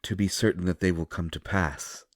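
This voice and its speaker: A man playing a gentle, solemn otherworldly seer in an audio roleplay, speaking in measured, formal phrases with sorrowful reassurance. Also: low voice, no echo, clean studio sound. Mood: apologetic, soothing. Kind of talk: scripted audio roleplay, comforting.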